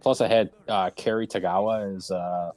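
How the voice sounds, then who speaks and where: A man's voice: low-pitched, fairly even intonation, moderate pace, a little mellow, soft background music. A man hosting a casual round-table chat about movies and games, speaking in an enthusiastic, opinionated way. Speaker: host of round-table discussion.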